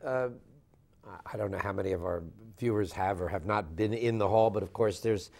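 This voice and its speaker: Field: older male host, low voice. Mic: lapel, TV studio. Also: normal speed, creaky, darkish tone. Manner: conversational, hesitant with fillers. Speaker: TV talk show host. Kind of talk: studio interview questions. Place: small TV studio set, seated in armchair.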